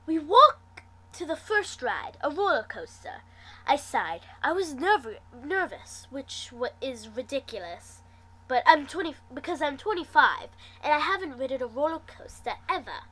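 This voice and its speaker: Woman, amateur story reader, bright and high, animated intonation, slow pace, small stumbles. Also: poor audio. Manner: theatrical, stumbles over words and restarts. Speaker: amateur fan fiction reader. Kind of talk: animated story reading with acted dialogue.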